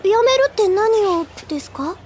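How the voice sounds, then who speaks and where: A woman's voice: very high voice, animated, normal speed, a little mellow, slight background noise. A woman playing an earnest, deferential younger schoolgirl in an audio drama, polite, sweet and a little timid. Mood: anxious, questioning. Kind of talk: Japanese audio drama acting.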